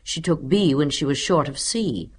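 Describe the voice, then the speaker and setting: A woman's voice: sits low, moderate pace, slightly rough. A woman voicing a small, dapper male substitute teacher in a children's storybook, pompous, professorial and commanding. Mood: pompous lecturing.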